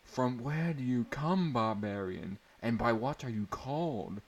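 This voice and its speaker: A man voicing an alluring, coy young tavern wench from a fantasy story, softly asking questions in archaic phrasing. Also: medium pitch, animated, unhurried. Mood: coy, inviting.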